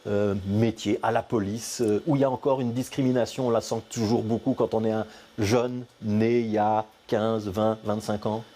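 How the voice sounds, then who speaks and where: A man hosting a TV studio interview, asking quick, conversational questions. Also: on the low side, little pitch movement, warm tone. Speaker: TV show host.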